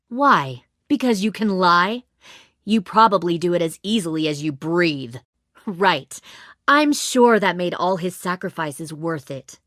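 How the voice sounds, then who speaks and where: A woman's voice: fairly low pitch, pushed and bright, no echo, clean studio sound, heavily acted. A woman playing a cold, menacing middle-aged commander of armed survivors in a dramatic video game.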